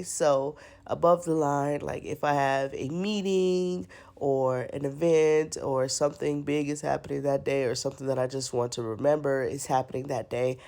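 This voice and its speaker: A woman's voice: deep voice, creaky, mellow. A young woman with a stuffy, congested voice, chatting casually over her planner video with lots of fillers. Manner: chatty, rambling, with many fillers. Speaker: planner vlogger.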